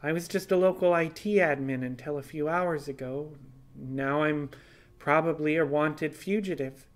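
Man voicing a high, hesitant, worn-out young heroine. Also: fairly even intonation, natural voice. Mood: dazed, hesitant. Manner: hesitant, halting. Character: exhausted, overwhelmed female IT admin, anthropomorphic animal fugitive.

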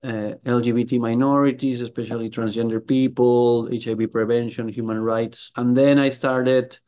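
A man's voice: medium pitch, level tone, normal speed, mellow. A middle-aged man with a Peruvian Spanish accent talking about his work in an interview. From Peru. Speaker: health researcher and journalist interviewee. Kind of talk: interview talk about career. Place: book-lined room, video call.

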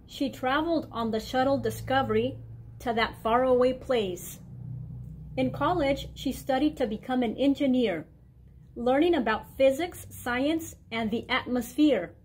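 A middle-aged woman reading a children's picture book aloud in a clear, deliberate storytime way in a library room. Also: sits high, darkish tone, a little hiss or hum. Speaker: guest reader, mayor. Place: indoor library room with bookshelves.

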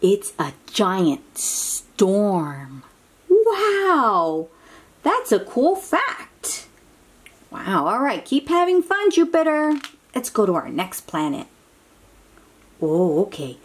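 A woman reads a picture book aloud to young children at a very unhurried pace. Her voice swings expressively up and down as she exclaims and coaxes little listeners with simple questions. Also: mid-range voice, wildly swooping pitch, breathy edge.

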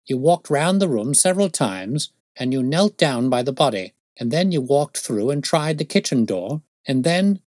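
A man voicing a brilliant, impatient gentleman detective in an audiobook story, speaking in a brisk, commanding, articulate way. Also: mid-range voice, animated, no room sound, pitched above the performer's own voice, close to an everyday voice. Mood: confident.

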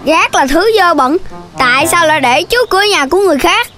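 A very high, childlike cartoon animal, bossy and earnest. Every line is emphatic, set over loud children's music. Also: expressive, quick pace. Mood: scolding.

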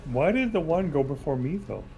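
A man's voice: fairly high pitch, sing-song, normal speed, creaky, darkish tone, poor audio. A man on voice chat, teasing and questioning friends while playing a game. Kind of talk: casual gaming chat.

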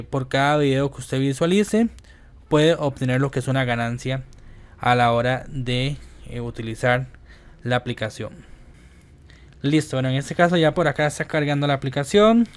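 Halting man presenting a casual tutorial. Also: medium pitch, clear, resonant tone. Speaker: YouTube host. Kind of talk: casual app tutorial narration over screen recording.

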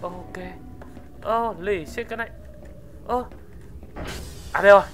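An excitable gaming video host giving exclamation-filled gameplay commentary. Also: mid-range voice, unhurried. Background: music.

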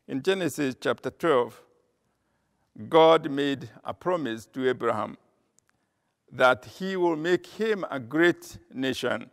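An older man preaching a sermon from a church pulpit, slow and measured, pausing with frequent "uh" as he cites scripture. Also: mid-range voice, lively intonation, gravelly. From Kenya. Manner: slow, measured delivery with frequent uh pauses, reading scripture aloud. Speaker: pastor. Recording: long reverb of a church hall.

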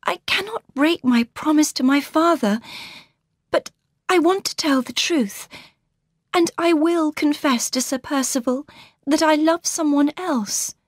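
A woman voicing a young earnest heiress, high and pleading. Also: a little slow, no echo, studio quality. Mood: resolute. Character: young gentle earnest woman, heiress near twenty-one.

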